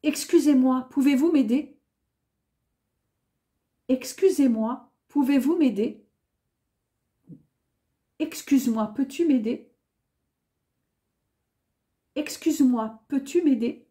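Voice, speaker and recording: Slow, high-voiced woman teaching French. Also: warm tone. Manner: clear, careful enunciation with each phrase said twice. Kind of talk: beginner language lesson, repeating phrases slowly.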